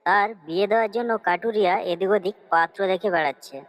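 A woman voicing a cunning fox in a cartoon story, coaxing and flattering as it schemes. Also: mid-range voice, fast talker, natural voice, low-quality recording. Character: cunning fox, sweet-talking helpful schemer.

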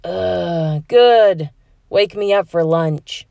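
A woman's voice: on the low side, very slow, creaky, mellow, no echo, clean studio sound, heavily acted. A woman voicing a grumpy, sleepy teenage big sister who grumbles, in a storybook.

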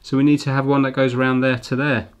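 A man's voice: medium pitch, level tone, quick pace, clear, resonant tone. A middle-aged man giving casual, informal commentary, thinking aloud as he works on a repair. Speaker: repair video host. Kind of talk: casual hands-on commentary while working.

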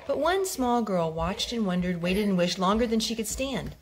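A woman reading a picture book aloud at home, expressive and gentle, as a host talking to small children. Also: mid-range voice, normal speed. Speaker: storytime host, reader to young children. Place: home living room with wooden floor. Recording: home video with clear background noise.

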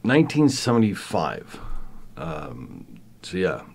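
A man in his fifties from Argentina, raised in Venezuela, with a Venezuelan Spanish accent, talking as a podcast guest in a thoughtful way, with many pauses and restarts. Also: sits low, wildly swooping pitch, creaky, a little mellow, husky. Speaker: guest, professor. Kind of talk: casual podcast interview.

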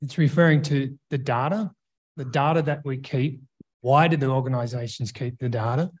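A man's voice: mid-range voice, moderate pace, breathy edge. A man who works as a trainer, explaining his view informally at a roundtable.

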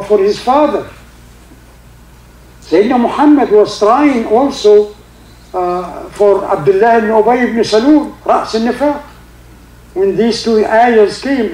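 An older man with a heavy Egyptian accent, a native Arabic speaker, giving an informal religious talk in halting English with many fillers and recited Arabic verses. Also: high voice, a little mellow, echoey room, low-quality recording. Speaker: religious teacher. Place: indoor room with carpeted seating.

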